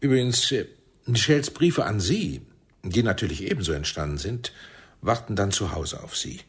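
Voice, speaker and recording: A calculating, weary spymaster in a radio play. His voice is very low and husky, and he talks in a measured, persuasive way, like a father who is in command. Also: lively intonation. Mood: smooth, persuasive. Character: paternal, shrewd Israeli intelligence chief, calculating, weary. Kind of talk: German radio play acting.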